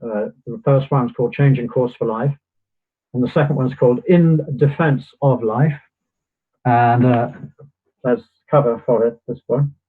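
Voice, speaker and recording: A man speaking as a guest in a conversation, his voice going hoarse as he talks. He explains in a measured, steady way, and the sound comes through a thin, tinny phone line. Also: medium pitch. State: getting hoarse. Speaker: guest author. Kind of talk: casual interview chat.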